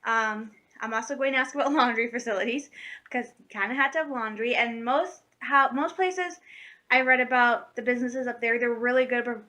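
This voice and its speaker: Woman, 30, vlogger; high pitch, chatty and rambling, casual to-camera chat at home. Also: fairly even intonation, fairly quick, bright, forceful tone. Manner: chatty and rambling, with frequent fillers and laughs.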